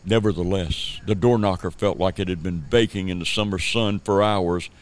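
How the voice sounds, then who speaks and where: A man's voice: low-pitched, steady tone, normal speed. A man from the southern United States narrating a scripted radio play as a storyteller. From Savannah, Georgia, United States.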